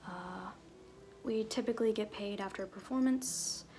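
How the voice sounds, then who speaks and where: A woman playing a flirty, glib circus fool in an ASMR roleplay, half-whispering with halting, offhand, teasing asides. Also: medium pitch, noisy background, close to an everyday voice. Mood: glib, reassuring. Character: flirty, glib circus fool and sham fortune-teller, playfully evasive.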